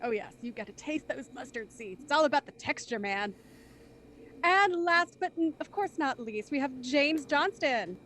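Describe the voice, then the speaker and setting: Female host, high lively voice, playful teasing banter, close dry sound. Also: normal speed, a little hiss or hum. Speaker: host introducing cast. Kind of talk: casual panel hosting, bantering.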